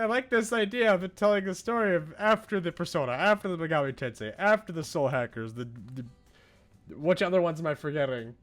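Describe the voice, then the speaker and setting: A man livestreaming a visual novel, commenting and reading aloud in an expressive way. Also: fairly high pitch. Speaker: game streamer.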